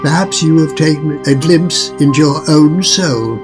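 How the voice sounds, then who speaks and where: A man playing a courtly, enigmatic restaurateur in a radio drama, speaking formally and cryptically in a husky voice. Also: a little high, music in the background, natural voice. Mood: ominous, courtly. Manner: formal, cryptic, measured phrasing. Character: courtly, ominous male restaurateur, enigmatic and philosophical. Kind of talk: fan-made radio drama acting.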